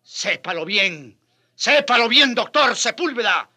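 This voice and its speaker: A man's voice: extremely high pitch, very animated, measured pace, pushed and bright, slightly rough, exaggerated voice. A man playing a passionate, sardonic Spanish friar who argues with challenging questions in a radio drama. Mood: challenging, impassioned. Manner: impassioned, challenging, mocking rhetorical questions.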